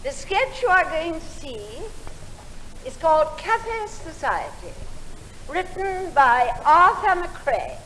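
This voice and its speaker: A woman's voice: very high voice, very animated, takes it slowly. A woman giving a formal stage introduction as an announcer. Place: large theatre stage. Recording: long-echo 1950s theatre recording, faint noise.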